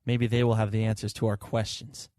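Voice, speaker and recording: A man's voice: fairly low pitch, flat delivery, a little fast, warm tone, dry recording, studio quality, lightly acted. A man playing a tired, idealistic and hot-headed police detective in a sci-fi audio drama, wry and insistent. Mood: righteous, resolute. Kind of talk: hard-boiled sci-fi audio drama acting.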